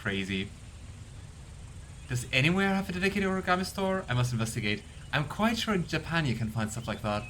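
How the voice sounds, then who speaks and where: A man giving casual gameplay commentary, chatty and curious, thinking out loud. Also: on the high side, big pitch swings, a little fast, a little hiss or hum, low-quality recording. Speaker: let's play streamer.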